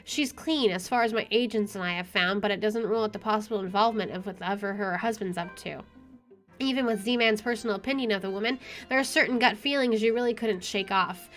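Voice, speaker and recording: A woman's voice: medium pitch, brisk. A woman reading visual novel narration aloud with wry, dramatic expression over game music. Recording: music playing behind the voice.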